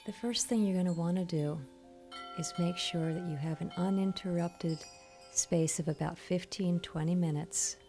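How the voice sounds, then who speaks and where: A woman leading a guided meditation in a soothing, gentle, instructional manner. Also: fairly low pitch, fairly even intonation, normal speed. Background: soft meditation music with chimes.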